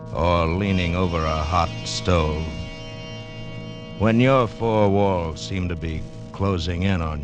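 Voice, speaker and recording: A man delivering a dramatic scripted promo in the style of 1940s radio. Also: low-pitched. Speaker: radio announcer. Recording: vintage radio broadcast, prominent music behind.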